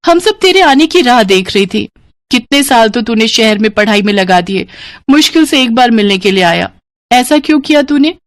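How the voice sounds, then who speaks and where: A woman's voice: fairly high pitch, lively intonation, moderate pace, dry recording, natural voice. A woman voicing devoted, protective village sisters in a moral cartoon, speaking in an earnest, emotional way. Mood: hurt, reproachful. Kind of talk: Hindi moral cartoon dubbing.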